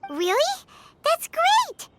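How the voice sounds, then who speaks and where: A woman's voice: extremely high pitch, huge pitch swings, very slow, a bit bright, heavily acted. A woman voicing a tiny, eager, childlike fairy companion in a video game. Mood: excited. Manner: speaks of self in third person.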